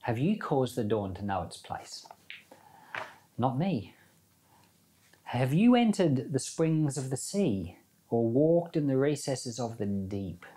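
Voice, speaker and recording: A man's voice: medium pitch, sing-song, slow pace, long pauses, warm tone. A man giving an informal sermon as a Bible teacher, reading scripture and commenting in a conversational way with rhetorical questions.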